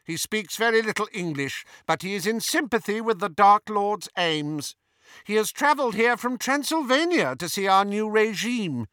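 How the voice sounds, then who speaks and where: Man putting on a shrill, imperious villainous witch. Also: big pitch swings, moderate pace, pushed and bright, clear, resonant tone, no echo, clean studio sound, full character voice. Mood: cool, haughty. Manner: contemptuous, cold, commanding. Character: haughty young witch imitating an imperious, contemptuous dark villainess. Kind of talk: audiobook character voice.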